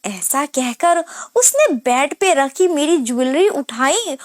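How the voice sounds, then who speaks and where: A woman's voice: high-pitched, big pitch swings, slow, no echo, studio quality. A woman reading a scripted, emotional first-person story as narrator.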